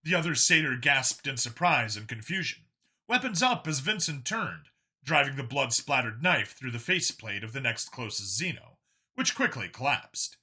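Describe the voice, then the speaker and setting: A man's voice: medium pitch, very animated, normal speed, pushed and bright, breathy edge. A man narrating a scripted science fiction story as an audiobook reader.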